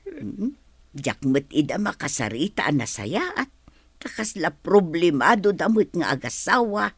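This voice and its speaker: A sorrowful older village mother in a radio drama. Her voice is husky and plaintive, swinging widely in pitch with feeling, and recorded close and dry. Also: big pitch swings, measured pace, dry recording, exaggerated voice. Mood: worried. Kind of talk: Ilocano radio drama acting.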